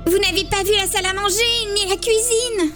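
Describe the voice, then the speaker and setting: A woman plays a nervous young daughter in an amateur horror audio story, very high in pitch. She works carefully and eagerly at her sales role, with faint music behind her. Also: steady tone, a little fast, a bit bright. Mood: eager, anxious. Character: Karine, anxious young daughter showing the family house to buyers.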